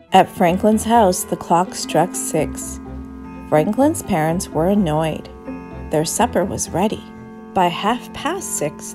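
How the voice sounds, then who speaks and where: A woman narrating a children's storybook aloud in a measured, expressive way. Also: medium pitch. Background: soft music.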